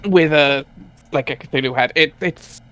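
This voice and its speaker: A man's voice: on the high side, unhurried, fairly bright tone, clear, resonant tone. A man chatting casually as he explains background details to a group, often hesitant and searching for words. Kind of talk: casual chat, commentary.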